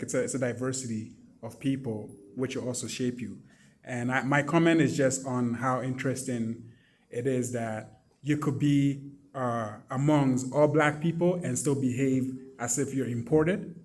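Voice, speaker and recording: A man's voice: mid-range voice, a little mellow. A man from Ghana speaking thoughtfully in a panel discussion, hesitating often with fillers. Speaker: panel participant.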